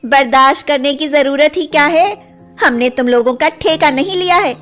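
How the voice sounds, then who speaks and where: A woman plays a haughty sister-in-law in a moral-story cartoon. The voice is high and theatrical, with emphatic scolding. Faint music runs behind it. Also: normal speed, fairly bright tone. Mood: angry.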